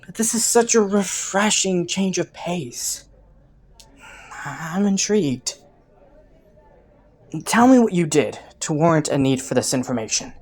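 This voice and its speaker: A woman's voice: on the low side, expressive, measured pace, pauses often. A woman playing a flirty, taunting underworld criminal in a roleplay audio, with a playful, teasing drawl. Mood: teasing, intrigued. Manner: playful, teasing drawl with frequent soft chuckles.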